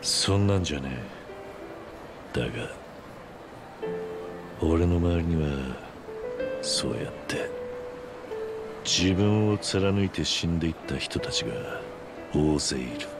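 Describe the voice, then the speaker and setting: A stoic, hardened yakuza in middle age for a video game. His voice sits low and he talks in terse, rough, masculine phrases. Dramatic music plays beneath him. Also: expressive, slow, pauses often, full character voice. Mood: somber, resolute. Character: stoic, gruff middle-aged legendary male yakuza living in hiding.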